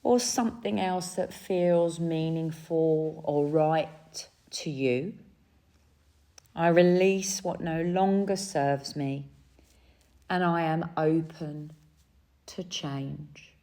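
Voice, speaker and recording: Very slow, low, mellow woman guiding meditation. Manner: gentle, soothing, pausing between short phrases. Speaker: yoga nidra guide. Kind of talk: guided relaxation meditation.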